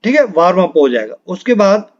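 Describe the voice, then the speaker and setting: A man's voice: high voice, sing-song, a little fast. A man coaching students in an informal, instructive way, repeating key points and often adding short check-in tags. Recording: dull lo-fi audio.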